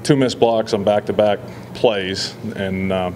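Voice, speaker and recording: A man in his forties, a football head coach, answering reporters after a loss in a measured, halting way with many fillers. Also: fairly low pitch, fairly even intonation, darkish tone, slight background noise. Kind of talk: post-game press scrum. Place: indoor press backdrop area. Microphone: several handheld reporter mics.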